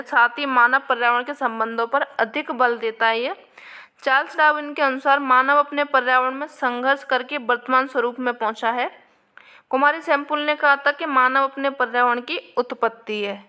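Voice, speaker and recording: A woman's voice: sits high, bright, forceful tone, clean tone. A woman teacher working through past exam questions, explaining each answer and repeating key points for her students. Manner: addresses viewers with frequent "आपके". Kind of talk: exam question walkthrough lesson.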